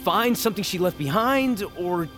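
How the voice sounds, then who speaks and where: Wounded young nobleman, expressive and halting. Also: high-pitched. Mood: grieving, searching. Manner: halting, sighing, solemn and formal. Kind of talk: cinematic fantasy audio drama acting. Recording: music under the voice. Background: cinematic music.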